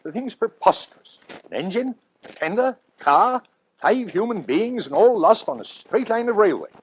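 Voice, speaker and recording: An actor playing a middle-aged, exasperated veteran railway superintendent in an emphatic old-time radio drama style. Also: male voice, sits high, sing-song, normal speed, a little hiss or hum, low-quality recording. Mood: indignant.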